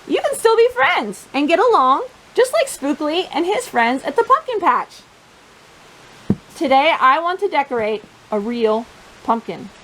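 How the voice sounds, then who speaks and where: A woman hosting storytime for young children, reading and chatting in simple, expressive phrases. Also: high-pitched, rough recording.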